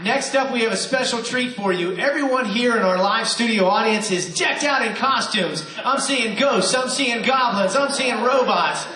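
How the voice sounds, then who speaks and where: A man's voice: very high voice, moderate pace, hardly any pauses. A man hosting a live radio show as announcer, with a theatrical showman's delivery before a studio audience. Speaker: host and announcer. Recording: echoey live room with audience.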